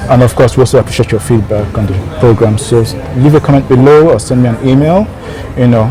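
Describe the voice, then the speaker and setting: A man's voice: mid-range voice, fast talker, a crowd in the background, rough recording. A man hosting an informal online talk show, addressing viewers directly and repeating phrases for emphasis. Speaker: show host and founder.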